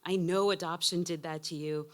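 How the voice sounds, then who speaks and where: A woman's voice: lowish voice, fairly even intonation, moderate pace. A woman in her fifties reading a personal essay aloud with emotional, emphatic phrasing. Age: 50s. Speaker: essayist reading own work.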